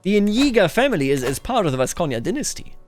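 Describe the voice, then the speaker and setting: A man's voice: high-pitched, very animated, fast talker. A man hosting a roleplay strategy game video, musing aloud in a theatrical way and slipping into character. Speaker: gaming video host. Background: game music.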